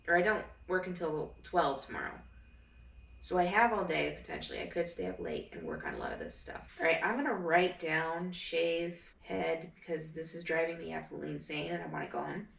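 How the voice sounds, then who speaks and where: A woman chatting casually in a rambling home vlog, her voice deep and groggy from just waking up. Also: lively intonation, moderate pace, a bit bright. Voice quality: deep, gravelly. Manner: rambling, chatty, full of fillers. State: groggy morning voice. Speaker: vlogger. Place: her apartment.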